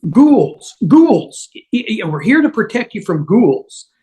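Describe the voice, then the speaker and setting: Amateur actor playing nervous bluffer, stammering, very animated pitch, mid register, comedy table read. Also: slow pace. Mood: flustered insisting. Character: flustered, bluffing schemer improvising cover stories.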